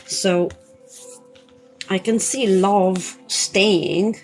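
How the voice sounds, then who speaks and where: A woman speaks at a slow pace over prominent background music. She sounds like a spiritual coach doing an informal live card reading, hesitating and circling back over words as she talks to viewers. Her pitch sits in an ordinary female range. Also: steady tone. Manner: hesitant, searching, often repeating words. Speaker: tarot reader and spiritual coach hosting a live session. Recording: loud music under the voice.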